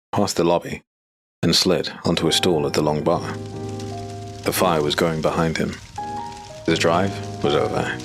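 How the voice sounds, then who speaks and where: A man giving measured, ominous scripted narration for a dark fantasy audiobook. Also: a bass voice. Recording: clear background hiss.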